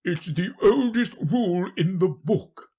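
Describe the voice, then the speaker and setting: A narrator voicing a pompous, muddle-headed old king, loud and emphatic, in a storybook reading. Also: medium pitch, animated, slow pace, breathy edge, voice pushed up, full character voice. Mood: blustering. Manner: loud, emphatic, self-important.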